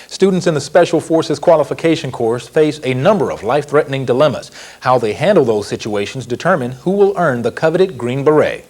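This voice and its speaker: A man's voice: mid-range voice, expressive, a little fast, darkish tone. A man anchoring a military news program, reading scripted news as presenter.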